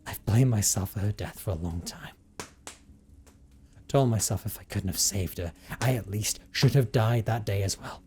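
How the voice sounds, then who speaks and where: A man acts out a brooding vampire haunted by guilt, confessing his past in an audio drama. His low voice is measured and formal, often sinking into a breathy hush. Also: lightly acted. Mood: somber, regretful. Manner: measured, hushed, formal storytelling with breathy drops. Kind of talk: dramatic audio roleplay monologue.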